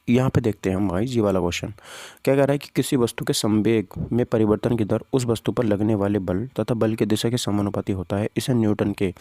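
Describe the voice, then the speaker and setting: Low, mellow male teacher. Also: no room sound. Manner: conversational, repeats points, poses questions to students. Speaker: physics teacher. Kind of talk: informal exam-prep lesson, walking through questions and answers.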